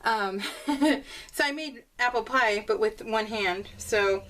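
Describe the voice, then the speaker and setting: A woman's voice: on the high side, slow pace, fairly bright tone, a little hiss or hum, rough recording. A woman vlogger chatting casually and bubbly to her viewers, rambling in a scattered way and circling back on her thoughts. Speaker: crafting vlogger.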